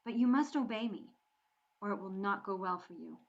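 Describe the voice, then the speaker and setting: A woman's voice: mid-range voice, animated, normal speed, lightly acted. Woman doing a proud teen viking boy, storybook audiobook, haughty and commanding, muffled sound.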